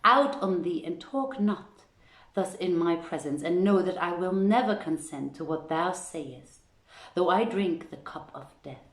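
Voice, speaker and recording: A woman's voice: fairly low pitch, lively intonation, a little slow. A woman voicing a proud, defiant young princess from an Arabian storybook tale, declaiming archaic lines emphatically in a roomy space. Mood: wrathful, defiant. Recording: roomy home livestream echo.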